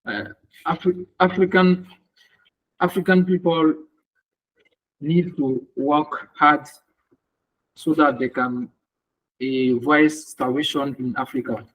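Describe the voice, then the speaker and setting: Male language student, slightly high voice, unhurried halting delivery, repeating words as he answers exercises. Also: steady tone, low-quality recording. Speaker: student, air traffic controller.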